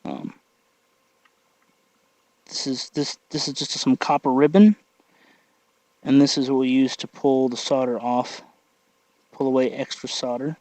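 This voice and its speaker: A man narrating a casual hands-on tutorial, thinking aloud in a halting way as he works. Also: mid-range voice, steady tone, a little mellow, no room sound, poor audio. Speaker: tutorial host.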